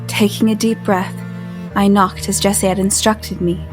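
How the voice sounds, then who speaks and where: A woman acts an uneasy but resolute young heroine of an audio drama, over prominent music. Her mid-pitched voice is measured and descriptive. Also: little pitch movement, close to an everyday voice. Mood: hushed, uneasy. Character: apprehensive but resolute female narrator-heroine. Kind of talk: first-person audio drama narration. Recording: music under the voice.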